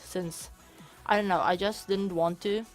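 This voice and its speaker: A woman, a bit hoarse from being sick, talking casually and rambling over footage of a game build, often correcting herself mid-sentence. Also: mid-range voice, fairly even intonation, measured pace. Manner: rambling, self-correcting, chatty. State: hoarse from illness. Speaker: channel host. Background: soft background music.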